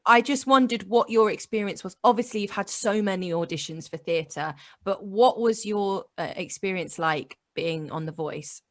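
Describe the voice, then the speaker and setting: Encouraging woman host, mid-pitched. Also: moderate pace, few pauses. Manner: encouraging, with many small agreeing interjections. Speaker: podcast host. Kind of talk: casual interview chat.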